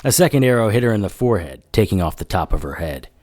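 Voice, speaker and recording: An actor playing a wry, hard-boiled monster hunter in a noir audio drama. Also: male voice, fairly low pitch, lively intonation, quick pace, very noisy, natural voice. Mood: grim narration. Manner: wry, sardonic. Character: cynical hard-boiled male monster hunter, wisecracking and crude. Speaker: narrator and lead actor.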